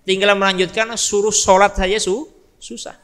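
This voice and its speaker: Guy preaching a story sermon, sharp forceful voice, big echoey hall. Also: high-pitched, level tone, moderate pace. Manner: emphatic, repeats phrases, asks listeners questions. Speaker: Islamic preacher. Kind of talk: storytelling sermon to a live congregation. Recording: long hall reverb.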